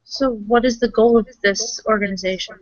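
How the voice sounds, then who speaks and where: A woman's voice: on the high side, steady tone, normal speed, dry recording. A woman playing in a tabletop roleplaying session, chatting casually in character. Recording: dull lo-fi recording.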